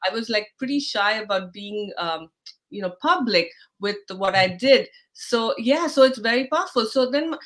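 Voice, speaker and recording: A middle-aged woman with an Indian accent hosts a live online interview, her voice sitting a little high. She talks in a chatty, loose way, with many fillers and restarts as she gathers her thoughts. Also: expressive, moderate pace. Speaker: host.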